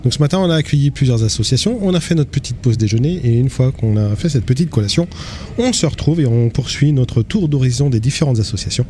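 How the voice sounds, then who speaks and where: Man, radio host, relaxed, friendly, dark tone, light background noise. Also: medium pitch, fast talker. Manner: relaxed, friendly, some hesitations. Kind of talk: live radio interview. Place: outdoor association fair.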